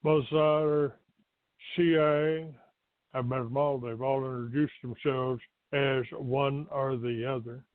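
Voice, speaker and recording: Slow older American man, tinny phone line. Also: mid-range voice, steady tone, no echo. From Texas, United States. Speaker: talk show host. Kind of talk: rambling monologue to listeners.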